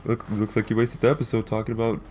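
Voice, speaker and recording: A man's voice: on the low side, monotone, fast talker, darkish tone, a little hiss or hum. A man co-hosting a gaming video with casual, sarcastic banter, teasing his partner.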